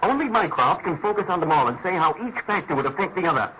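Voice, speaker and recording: A brilliant, dry-witted gentleman detective acted by a man, musing aloud in theatrical radio drama fashion. His voice sits slightly high and moves quickly with animated swings, heard through a tinny, hissy old radio sound. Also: close to an everyday voice. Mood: amused, expounding. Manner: articulate, declamatory, musing. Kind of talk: classic British radio drama acting. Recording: tinny narrow-band radio recording with hiss.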